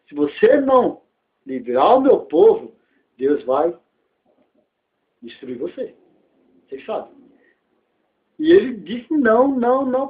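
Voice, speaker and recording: A Brazilian man preaching a sermon, retelling a Bible story dramatically and putting rhetorical questions to his listeners. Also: fairly high pitch. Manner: dramatic storytelling, acting out dialogue. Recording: thin, phone-like narrow-band audio.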